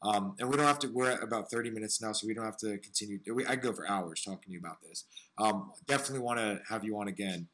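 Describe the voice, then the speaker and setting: A man with a smoky, raspy voice hosting an interview, talking earnestly and searchingly about music and his own struggles. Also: fairly low pitch, rapid-fire, fairly bright tone, low-quality recording. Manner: earnest, searching, piles up phrases. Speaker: podcast host. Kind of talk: reflective interview talk.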